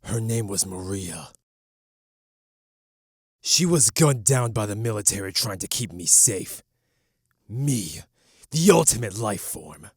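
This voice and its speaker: A man playing a guarded, grieving, proud hedgehog antihero in a dramatic comic dub, with a husky voice. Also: on the low side, sing-song, dry recording, studio quality, heavily acted. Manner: slow, guarded, brooding.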